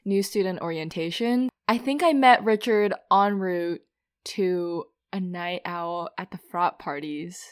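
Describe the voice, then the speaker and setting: A woman's voice: fairly low pitch, unhurried, smooth. A woman in her twenties from Minnesota, co-hosting a casual podcast with dry, teasing humor. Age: 20s. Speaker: podcast co-host. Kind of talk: casual chat.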